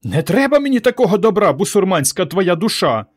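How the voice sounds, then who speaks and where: A man's voice: on the high side, sing-song, brisk, voice pushed up. A man voicing an angry village mother in a story, scolding and wailing with indignation. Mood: furious scolding.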